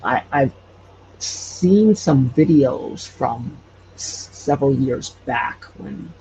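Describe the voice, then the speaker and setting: A man chatting casually as an interview guest, with hesitant, halting phrasing. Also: mid-range voice, expressive, dark tone. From Hawaii. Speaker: podcast guest, audio drama creator. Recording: dull lo-fi sound, faint noise.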